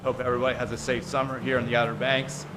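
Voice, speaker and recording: A lifeguard captain welcoming visitors in a short recorded video, upbeat and friendly. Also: male voice, mid-range voice, little pitch movement, rapid-fire, noisy background. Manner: upbeat, friendly public greeting. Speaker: ocean rescue captain. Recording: video clip with music underneath.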